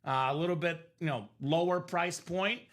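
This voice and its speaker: A man's voice: a little high, moderate pace, fairly bright tone. A man hosting a live sports card break, giving upbeat, salesy running commentary, his voice a little worn. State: voice a bit worn from singing. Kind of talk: live stream selling chat.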